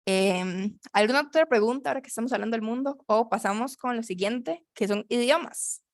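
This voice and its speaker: A woman teaching English over a video call, explaining slowly in Spanish and repeating words so her student can follow. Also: on the high side, dry recording, studio quality. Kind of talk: online English lesson.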